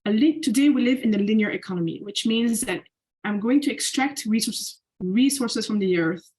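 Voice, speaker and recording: A woman's voice: fairly high pitch, normal speed, a little mellow, husky, poor audio. A young woman from Belgium giving a slide presentation as a podcast guest, talking casually with lots of "like" fillers and restarts. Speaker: guest speaker.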